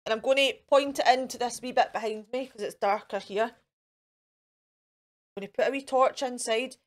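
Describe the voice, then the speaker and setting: A woman's voice: a little high, fairly even intonation, moderate pace. A Scottish woman hosting a children's show in a chatty, encouraging way, with simple repeated phrases and questions to the young viewers. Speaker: children's storytime host. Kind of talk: chatty children's craft and activity presenting to camera.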